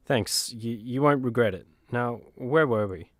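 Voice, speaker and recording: A man's voice: medium pitch, a little slow, no room sound, lightly acted. Man playing an earnest superhero, dry recording. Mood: apologetic, eager. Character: earnest male superhero, self-promoting team member. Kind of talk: comedy radio play acting.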